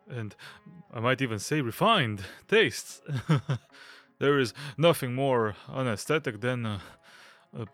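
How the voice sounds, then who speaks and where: An actor playing a nervous, fussy gentleman aesthete in an audio drama, hesitant and stammering in his delivery. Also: mid-range voice, dry recording. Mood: nervous, apologetic, then affected, self-satisfied. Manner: hesitant, stammering, nervous giggles and throat-clearing.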